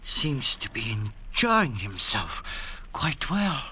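A man in a 1940s radio drama playing an anxious, bitter French forger, with a slow, insinuating, theatrical delivery on an old radio recording. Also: medium pitch, big pitch swings, pushed and bright, full character voice. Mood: suspicious, bitter. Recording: dull, noisy vintage radio recording.

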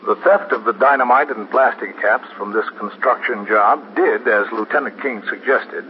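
An American man playing a calm, methodical police captain in a 1950s radio drama, speaking in a measured, official manner. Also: medium pitch, close to an everyday voice. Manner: measured, matter-of-fact, official. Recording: muffled, noisy 1950s radio recording.

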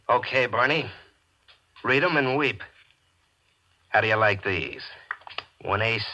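A muffled old radio recording with prominent music carries a bitter small-time gambler. He is a low-voiced American man, tense and deliberate, narrating his own suspense tale in 1940s style. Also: sharp tone, exaggerated voice. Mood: triumphant. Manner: tense, dramatic, deliberate storytelling. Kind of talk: 1940s radio drama acting, first-person suspense narration. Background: dramatic music.

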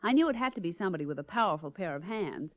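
An actress playing a witty, arch, teasing secretary to a detective in 1940s radio drama style. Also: female voice, on the low side, animated, racing. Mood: curious. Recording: muffled old 1940s radio recording.

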